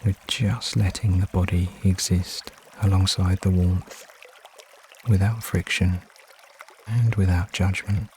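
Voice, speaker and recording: A man leading a guided meditation as narrator, giving soothing, unhurried instructions with frequent pauses. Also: sits low, slight background noise. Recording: steady water ambience behind voice. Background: trickling stream water sounds.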